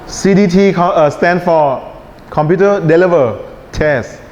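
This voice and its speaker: A man with a Thai accent teaching an IELTS writing class in a relaxed lecture style, often checking in with his students. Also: fairly high pitch, unhurried. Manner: relaxed, checks in with "right?" and "okay?". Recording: echoey hall, dull sound, faint hiss.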